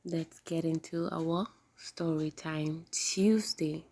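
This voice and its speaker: A woman hosting a children's story time, reading aloud in an animated, expressive way. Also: low voice, takes it slowly.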